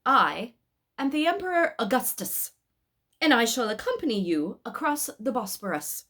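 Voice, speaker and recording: A woman's voice: fairly high pitch, sing-song, moderate pace, fairly bright tone, voice pushed up. Woman putting on an imperious, pompous Roman emperor. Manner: pompous, commanding.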